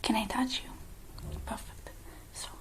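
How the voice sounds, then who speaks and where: Woman in a slow, hushed ASMR whisper. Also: poor audio. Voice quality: breathy whisper, mostly unvoiced. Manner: hushed, gentle, slow with many pauses. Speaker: ASMR roleplay host. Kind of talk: whispered ASMR roleplay, one-sided personal attention.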